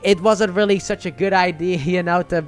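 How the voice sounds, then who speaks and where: A man's voice: high-pitched, steady tone, moderate pace. A man giving a casual, conversational movie review with emphatic, opinionated delivery. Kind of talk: casual video review commentary. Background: music under the voice.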